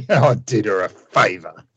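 A man playing a brutal, sneering, menacing villain in a radio play, with a gravelly voice. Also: on the low side, fairly bright tone, full character voice.

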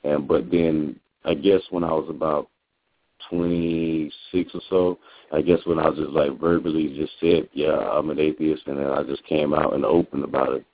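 American male co-host with a very deep voice, halting casual delivery, dry tinny phone audio. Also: little pitch movement, no echo. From United States. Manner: halting, filler-heavy storytelling. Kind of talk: casual call-in radio talk.

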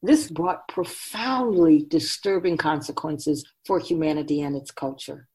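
A woman's voice: sits low, measured pace, warm tone, poor audio. A woman playing a wise otherworldly keeper of souls in a radio drama, teaching humanity in an emphatic, oracular manner with rhetorical questions. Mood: grave, lecturing. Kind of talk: radio drama dialogue, lecturing.

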